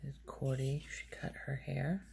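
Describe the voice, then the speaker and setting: A woman speaking softly in an ASMR ramble, breathy and near a whisper at times, musing hesitantly as she thinks aloud. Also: very deep voice, steady tone, unhurried, very noisy. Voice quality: soft, breathy, often slipping toward a whisper. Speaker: ASMR video creator. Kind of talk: soft-spoken ASMR ramble.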